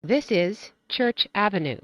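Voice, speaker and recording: A woman reading scripted train announcements as a transit announcer, in a measured, formulaic way. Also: mid-range voice, animated, a bit bright, no room sound.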